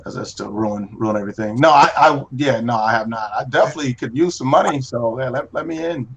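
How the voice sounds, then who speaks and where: A man's voice: medium pitch, brisk, fairly bright tone, slight background noise. A man chatting casually and joking on a live drawing stream, laughing often at his own remarks. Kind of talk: casual chat while drawing.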